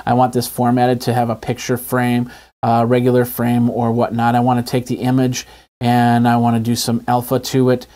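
Man, casual mid-pitched tutorial host. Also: warm tone. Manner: conversational, informal, explains step by step. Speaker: host of an app review web show. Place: small indoor home studio. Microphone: lapel mic.

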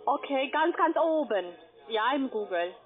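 A woman's voice: high-pitched, animated, measured pace. A woman call centre agent with a foreign accent, not a native speaker, explaining things on the phone. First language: not German. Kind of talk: phone sales conversation. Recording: tinny phone line, busy call centre.

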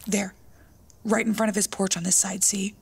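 A woman's voice: medium pitch, little pitch movement, normal speed, creaky, slight background noise, natural voice. A woman playing a blunt, pushy, suspicious young woman with a belief in witchcraft, in an audio drama. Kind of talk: audio drama dialogue, naturalistic.

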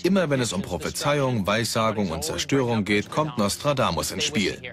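A man's voice: on the low side, big pitch swings, normal speed, over music. A man reading scripted documentary narration in a dubbed production.

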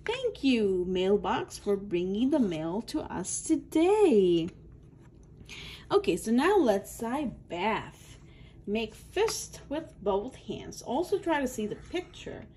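A woman's voice: fairly high pitch, big pitch swings, takes it slowly, dark tone, a little hiss or hum. A woman reading aloud to young children in an instructional way, adding her own asides and stretching out key words. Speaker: children's book reader.

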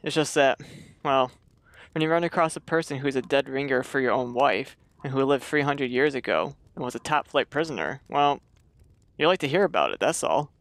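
A man's voice: mid-range voice, animated, moderate pace, creaky, fairly bright tone, dry recording. A man playing an earnest, uneasy suburban husband in a radio play, talking in a hesitant, conversational way. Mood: uneasy probing.

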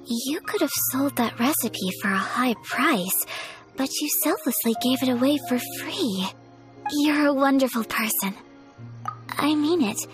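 An actress playing a shy, courteous young noblewoman in a video game, soft-spoken and formal. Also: high-pitched. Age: young adult. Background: game music.

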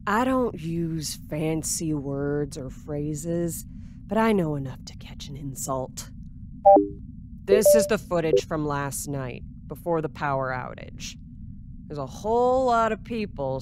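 A woman playing a blunt, impatient security officer in an audio drama, gruff and belligerent. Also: low voice, lively intonation, unhurried, a little mellow, a little hiss or hum. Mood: gruff, offended. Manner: gruff, plain-spoken, belligerent.

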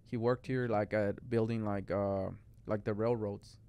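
Casual young male guest from Mexico, clear smooth tone, rambling chat. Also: lowish voice, steady tone, measured pace, mellow. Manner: rambling, lots of "like" and "bro". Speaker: guest artist. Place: podcast studio. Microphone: studio mic on boom arm.

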